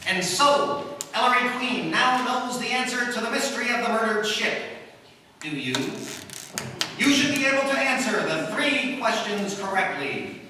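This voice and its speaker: A man's voice: extremely high pitch, lively intonation, measured pace, background noise. A man narrating and announcing a live old-time radio play with a theatrical delivery. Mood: dramatic. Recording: echoey hall, mic heard from far, music behind.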